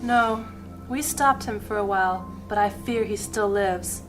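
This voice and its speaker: A woman playing a calm, knowing noble lady in a fantasy audio drama, composed and formal. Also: medium pitch, measured pace, music in the background, close to an everyday voice. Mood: knowing, grave.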